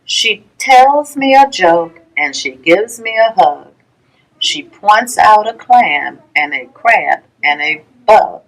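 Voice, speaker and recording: A woman reading a rhyming children's picture book aloud, with a measured sing-song delivery and pauses between phrases. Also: medium pitch, expressive, poor audio. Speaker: library storytime reader.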